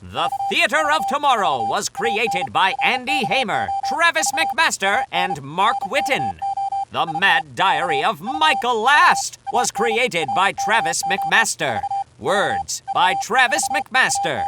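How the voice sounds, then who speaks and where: A woman reading scripted credits as an announcer, with loud noise over her voice. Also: medium pitch, sing-song, slow pace.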